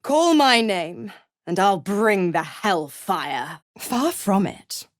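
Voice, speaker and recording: A woman's voice: medium pitch, lively intonation, slow pace, no room sound, studio quality. An actress playing a seductive, scheming female devil in a video game, with a teasing, sardonic, theatrical and condescending drawl. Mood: sultry teasing. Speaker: video game character actor.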